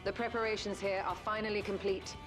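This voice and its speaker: A woman's voice: medium pitch, flat delivery, fairly quick, over music, lightly acted. A woman playing a composed, commanding scholarly sorceress in a fantasy video game, formal and precise.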